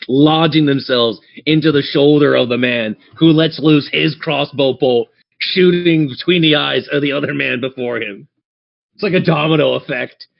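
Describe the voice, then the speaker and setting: A man's voice: medium pitch, vocal fry, dull audio. A man narrating a tabletop fantasy game as game master, theatrical yet conversational.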